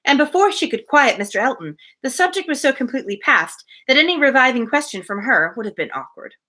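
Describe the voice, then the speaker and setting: A woman's voice: high-pitched, quick pace. A woman hosting a live book reading, reading a classic novel expressively and slipping into casual, chatty asides. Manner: expressive, informal and chatty between passages.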